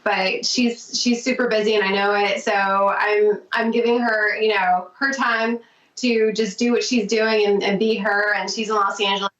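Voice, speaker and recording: A woman guesting on a podcast talks at length in a gushing, grateful way, at an ordinary pitch. She sounds far from the mic in a roomy space, and the audio is dull and muffled. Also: level tone, moderate pace, a bit bright. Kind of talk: casual interview chat.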